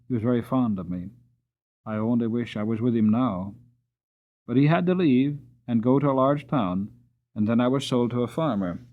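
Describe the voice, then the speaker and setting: A man's voice: medium pitch, normal speed, narrow, tinny audio, close to an everyday voice. A man voicing a troubled, sweet-tempered mare in a storybook, explaining herself plaintively and earnestly. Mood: wistful, earnest.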